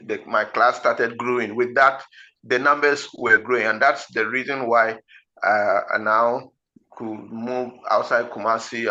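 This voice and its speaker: A man with a Ghanaian accent, an English test teacher, explaining slowly and deliberately, pronouncing each word one after another with frequent fillers. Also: medium pitch, bright, forceful tone, highs cut off. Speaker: IELTS teacher.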